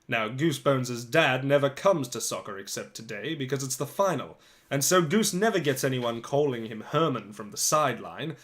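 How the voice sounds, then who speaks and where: A man narrating a children's novel as a dramatic reading, with expressive, theatrical delivery and dramatic pauses. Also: on the high side.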